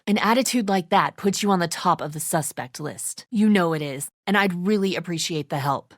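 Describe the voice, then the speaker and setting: A woman's voice: fairly low pitch, no room sound, clean studio sound. A woman voicing a tense, suspicious and defensive leader of zombie apocalypse survivors in a video game, speaking bluntly with biting sarcasm.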